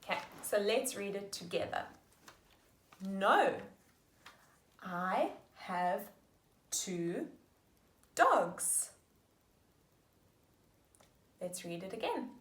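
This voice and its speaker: A woman teaching young children, slowly sounding out sentences and praising them warmly. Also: a little high. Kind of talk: slow, patient lesson for young children. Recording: echoey room.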